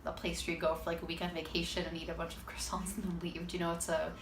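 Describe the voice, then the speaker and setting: A woman's voice: on the low side, flat delivery, rapid-fire. A woman from Michigan giving wry, slightly jaded interview answers. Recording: echoey, distant mic with background noise.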